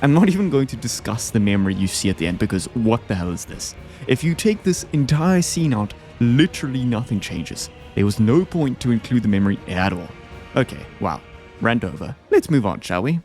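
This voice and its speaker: A man's voice: mid-range voice, big pitch swings, brisk, darkish tone. A man hosting a video, giving casual, opinionated commentary in an informal, slangy way. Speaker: video host ranking game bosses. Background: background music.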